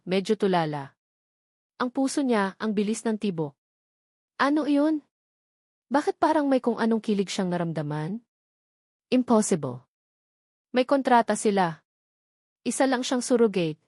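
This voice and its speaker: A woman's voice: medium pitch, darkish tone, dry recording, clean studio sound. A woman storyteller reading a scripted romantic drama with dramatic narration.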